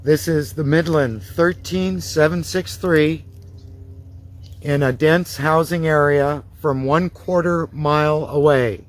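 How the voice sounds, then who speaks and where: A man presenting a radio test, speaking in deliberate, repeated test phrases. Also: a little high, fairly even intonation. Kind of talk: radio check test announcements, demonstration.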